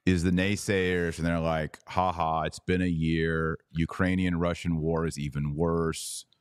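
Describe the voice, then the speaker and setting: A man's voice: deep voice, level tone, unhurried, clean tone, no room sound, clean studio sound. A man hosting a conversational religious debate podcast, arguing emphatically with hypothetical examples. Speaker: podcast host.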